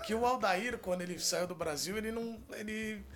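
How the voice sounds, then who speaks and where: A man's voice: high voice, fairly quick, fairly bright tone. A man with a Brazilian accent hosting a casual chat, a sports reporter asking eager questions and laughing. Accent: Brazilian Portuguese.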